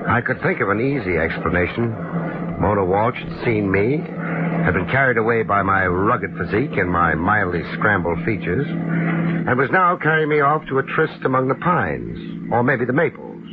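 An actor playing a laid-back American private investigator in a 1950s radio drama, dry, casual and wisecracking. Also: male voice, on the low side, animated, normal speed. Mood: wry narration. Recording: tinny, noisy 1950s radio recording.

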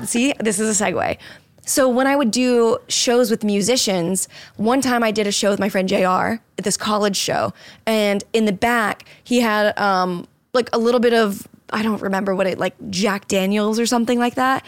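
A woman's voice: medium pitch, dry recording. A young woman talking loosely and easily on a podcast. She rambles, fills her sentences with "like" and breaks into laughs. Her voice sits in a middle range and sounds dry and close to the mic, with no room around it. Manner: rambling, heavy use of "like", laughs often. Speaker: podcast host, dancer. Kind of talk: casual chat.

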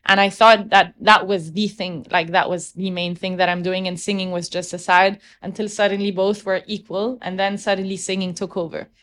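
A woman's voice: medium pitch, little pitch movement, normal speed, hardly any pauses, a bit bright. Reflective young woman from Egypt. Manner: thoughtful, restarts sentences often. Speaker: guest, opera singer. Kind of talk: casual interview conversation.

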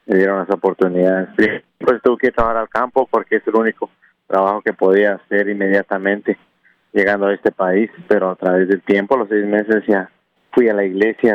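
A man from Guatemala whose first language is K'iche', a restaurant owner telling his story in a hesitant, unhurried way with many pauses. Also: fairly low pitch, dry recording. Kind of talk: phone interview. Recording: dull phone line, dry.

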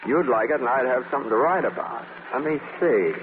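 An actor in a 1940s radio play, playing a wry, charming magazine writer with a hesitant, drawling way of talking. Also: male voice, mid-range voice, animated, quick pace, crowd noise, natural voice. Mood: wry, scheming. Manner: hesitant, drawling, repeating himself. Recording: muffled, crackly 1940s radio recording.

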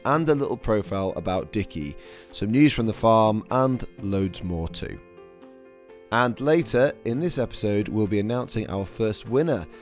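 A man with a croaky, worn voice, a chef hosting his own podcast in upbeat scripted narration. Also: lowish voice, darkish tone.

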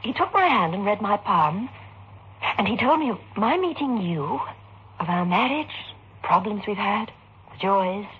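An actress playing a frightened, accusing wife in melodramatic, emphatic 1960s radio horror style. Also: female voice, mid-range voice, lively intonation, a little slow, lightly acted. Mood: puzzled, wondering. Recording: muffled, noisy old radio recording.

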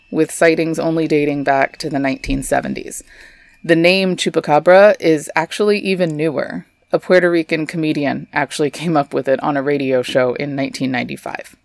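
A woman's voice: low voice, vocal fry, no echo. A young adult woman from Texas, hosting a scripted storytelling podcast with chatty, wry asides. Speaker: podcast host.

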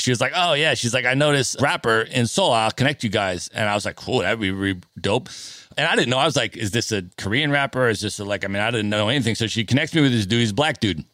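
A man's voice: mid-range voice, dry recording. Guy hosting a podcast, chatty storyteller, medium voice, really dry sound. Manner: storytelling, animated, says "man" and "right?" often. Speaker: podcast host. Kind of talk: casual chat.

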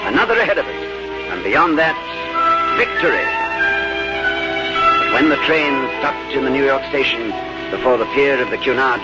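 An actor in a 1950s radio drama playing a precise, composed gentleman adventurer with a formal, decisive delivery. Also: on the high side, natural voice. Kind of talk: scripted first-person narration and 1950s radio drama acting. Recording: muffled old radio recording, loud music.

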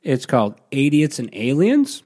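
A man at an everyday middle pitch hosts a casual podcast and steers a tabletop game. He sounds upbeat and guiding, and he laughs easily. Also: huge pitch swings, normal speed, a little mellow. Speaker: co-host and game master.

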